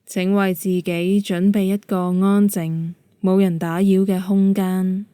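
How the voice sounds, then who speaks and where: Slow, mellow Cantonese-speaking woman guiding meditation. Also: mid-range voice, no room sound. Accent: Hong Kong Cantonese. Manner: calm, gentle, measured instruction. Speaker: yoga nidra meditation guide.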